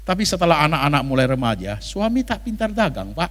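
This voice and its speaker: A man's voice: on the high side, sing-song, fast, fairly bright tone. A man voicing an aggrieved older wife who confides her long suffering plaintively and bitterly. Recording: reverberant hall sound.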